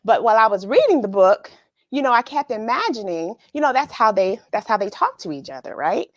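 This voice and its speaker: A woman with a fairly high voice hosts a read-along show for children. She chats casually and expressively to her young viewers, often musing aloud. The sound is fairly dry and close. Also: sing-song, brisk, no echo. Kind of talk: casual chat to young viewers after a story reading. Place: at home.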